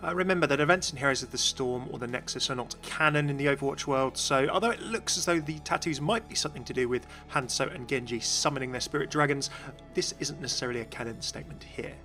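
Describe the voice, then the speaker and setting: A man's voice: mid-range voice, fast, sharp tone, soft background music. A man giving upbeat, informative explanatory commentary as narrator of a video game channel, recorded close on mic.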